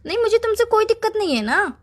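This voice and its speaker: A woman's voice: very high-pitched, sing-song, fast talker. A young woman. She talks casually, as a girlfriend arguing on a call, and keeps piling up complaints in a nagging manner. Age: young adult. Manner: nagging, lists complaints at length. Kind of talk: casual relationship argument in call format.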